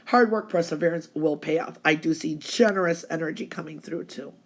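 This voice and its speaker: A woman's voice: low-pitched, fairly quick. A woman with laryngitis, giving a chatty, reassuring fortune reading. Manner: chatty, reassuring, keeps saying okay. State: hoarse from laryngitis. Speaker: psychic reader. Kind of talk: tarot-style weekly reading.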